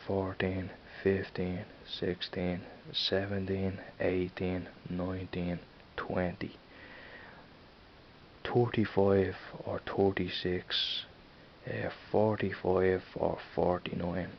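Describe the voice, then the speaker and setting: A man in his thirties with a Dublin accent, rambling casually and halting over his words in an off-camera vlog. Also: low-pitched, flat delivery, creaky. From Ireland. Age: 30s. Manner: rambling, halting, many restarts. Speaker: vlogger. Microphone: camera mic, filming a screen. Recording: dull lo-fi sound with faint hiss.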